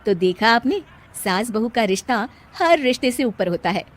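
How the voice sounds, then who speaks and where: A woman's voice: fairly high pitch, lively intonation, moderate pace. A woman narrating a scripted story for a cartoon video.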